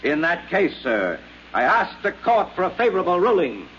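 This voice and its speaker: A muffled, slightly noisy 1950s radio drama recording. a wry, dry male lawyer whose pitch swings widely as he argues and drawls. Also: wildly swooping pitch, normal speed, fairly bright tone, heavily acted. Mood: formal, insistent. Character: wry, laid-back lawyer turning formal and forceful in court.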